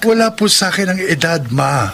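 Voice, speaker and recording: A man's voice: sits high, animated, brisk, vocal fry, bright, forceful tone, heavily acted. A man with a Filipino accent playing an earnest, lovestruck, defiant young son in a radio drama. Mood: defiant, resolute.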